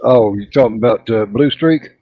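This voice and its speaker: A man's voice: medium pitch, moderate pace. A man co-hosting a casual live chat, easygoing and hesitant with lots of filler. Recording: dry, dull lo-fi audio.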